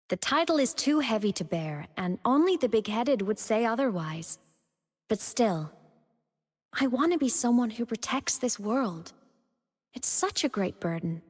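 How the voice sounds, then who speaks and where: A woman voicing a young student turned digital ghost in a video game, cheerful yet wistful and devoted, with a soft, earnest delivery. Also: on the high side. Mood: earnest, gentle. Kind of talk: scripted video game character monologue. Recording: long reverb on the voice.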